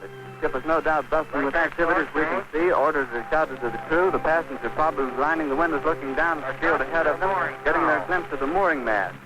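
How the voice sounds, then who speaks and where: A man's voice: fairly high pitch, fast, over music, rough recording. A man giving live descriptive commentary as a radio reporter, on a 1930s archival recording.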